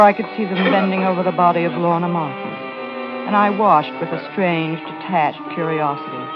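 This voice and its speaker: A woman's voice: on the low side, close to an everyday voice. An actress playing a shaken young woman narrating a murder with intense drama in 1940s radio style. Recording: dull old radio recording. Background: dramatic music.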